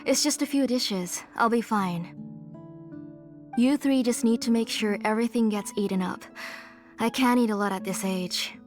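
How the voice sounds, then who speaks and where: A woman's voice: a little high, moderate pace, darkish tone, faint music behind, close to an everyday voice. Woman acting an older motherly game character. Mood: composed, hospitable. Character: grieving, doting older mother, retired forger.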